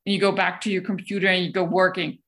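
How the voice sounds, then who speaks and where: A woman's voice: on the low side, level tone, fast talker, a bit bright. A woman with a German accent, a guest speaker answering questions in a casual, hesitant way, full of ums and restarts.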